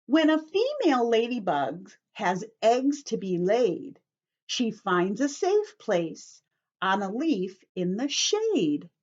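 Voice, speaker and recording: A woman's voice: on the high side, sing-song, slow pace, darkish tone. A woman teacher reading aloud to young children, explaining things simply and putting questions to them as she goes, in slightly dull lo-fi sound. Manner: instructive, addresses children with questions and simple repetition.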